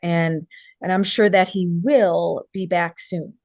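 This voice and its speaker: A woman's voice: lowish voice, steady tone, slow pace, a little mellow, dry recording. A woman playing a kind, encouraging young woman in a drama table read. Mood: reassuring.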